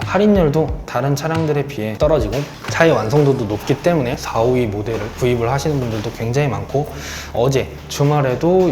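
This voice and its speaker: Car dealer guy w a sore throat pitching deals, polite salesy talk, echoey room, music playing under it. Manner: polite, persuasive salesman's patter. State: sore throat, speaking lower than usual. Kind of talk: sales pitch explaining monthly car promotions. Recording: roomy echo with background music.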